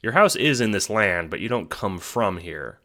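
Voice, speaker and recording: A man's voice: fairly low pitch, expressive, normal speed, bright, forceful tone. A man voicing an awkward, polite, easily bewildered boy hero in an audiobook drama, speaking casually and hesitantly. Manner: hesitant, casual youthful speech.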